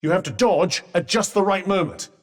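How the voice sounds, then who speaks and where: A sardonic talking magic cuff from a video game, with a British accent and a fairly high-pitched voice. It gives urgent combat advice in eloquent, dryly witty phrasing. The voice sits a little away from the mic. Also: male voice, moderate pace, far from the mic. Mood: urgent, instructing. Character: talking magic cuff, dry witty male battle companion. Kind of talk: video game companion voice lines.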